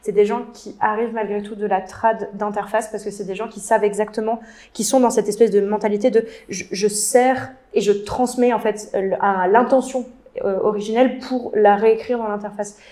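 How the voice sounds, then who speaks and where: Woman panelist, warm mellow tone, halting but opinionated delivery. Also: mid-range voice, steady tone. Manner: hesitant, many fillers and restarts, opinionated. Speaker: content designer, panelist. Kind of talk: casual panel discussion.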